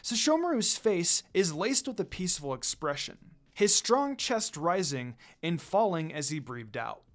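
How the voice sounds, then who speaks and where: A man reading a fan fiction story aloud in an expressive narrating style. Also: sits high, unhurried. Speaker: fan fiction reader, channel host. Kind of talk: expressive scripted story narration with casual asides. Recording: music under the voice. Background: music.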